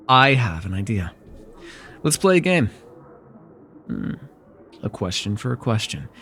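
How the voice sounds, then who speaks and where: A taunting, flirtatious villain of nightmares, acted out by a man for fantasy ASMR. The mid-pitched male voice is theatrical and very animated, teasing with mock sweetness. A little background noise sits under the recording. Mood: amused, intrigued. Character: villainous nightmare lord, sly, taunting, flirtatious dream invader. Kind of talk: ASMR fantasy roleplay acting.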